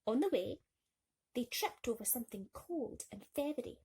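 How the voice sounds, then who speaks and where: A woman's voice: a little high, animated, normal speed, a little mellow, breathy edge. A woman storyteller narrating a bedtime story expressively for children.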